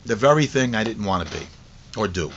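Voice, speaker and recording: A man's voice: lowish voice, lively intonation, fairly quick, a bit bright, a little hiss or hum. Man telling his story, dull lo-fi recording. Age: middle-aged or older. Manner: conversational storytelling with repetition for emphasis. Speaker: course teacher. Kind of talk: autobiographical teaching talk.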